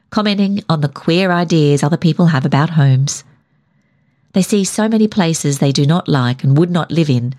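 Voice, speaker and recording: A woman reading a short story as scripted narration, in a measured storytelling manner. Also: deep voice, a little mellow. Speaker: story reader.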